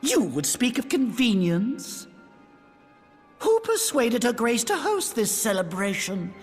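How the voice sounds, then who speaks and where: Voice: mid-range voice, sing-song, takes it slowly, breathy edge, full character voice. An actor playing a smug, venomous schemer who accuses in pompous, theatrical, archaic speech for a video game cutscene. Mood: smug accusing. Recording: long hall reverb, music underneath.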